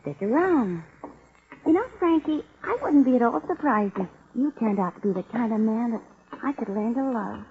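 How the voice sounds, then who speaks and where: An actress playing a coy, streetwise American nightclub dancer in 1940s radio drama style, slangy and teasing. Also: female voice, fairly high pitch, lively intonation, moderate pace, a little hiss or hum. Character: flirty, streetwise nightclub dancer, loving crook's accomplice. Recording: muffled 1940s radio recording.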